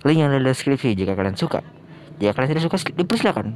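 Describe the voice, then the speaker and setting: A man's voice: mid-range voice, big pitch swings, very fast, darkish tone, slight background noise. An Indonesian man hosting a reaction video, commenting casually in slangy, easily impressed exclamations. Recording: music playing behind the voice.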